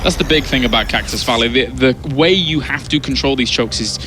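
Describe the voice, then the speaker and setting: A man casting an esports match with quick, excited play-by-play. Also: medium pitch. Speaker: esports caster. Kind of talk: play-by-play commentary.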